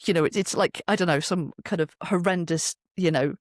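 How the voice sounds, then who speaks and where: A woman's voice: lowish voice, a little fast, no echo, clean studio sound. A British woman giving an interview in a chatty, anecdotal way, acting out remarks as she goes. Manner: chatty, acts out quoted remarks. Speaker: interview guest, voice actor and performer. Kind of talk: animated interview chat with anecdotes.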